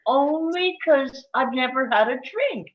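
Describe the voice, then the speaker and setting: A woman's voice: sits high, lively intonation, measured pace, highs cut off. A woman playing a chatty, blunt older divorced mother in a comedy table read, breezy and melodramatic. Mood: breezy, enthusiastic.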